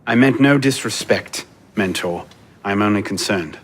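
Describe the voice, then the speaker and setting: An actor playing a serious young assassin in a video game, speaking in a terse, earnest, understated way. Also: male voice, on the low side, measured pace, slight background noise, close to an everyday voice. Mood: earnest, subdued. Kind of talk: video game cutscene acting.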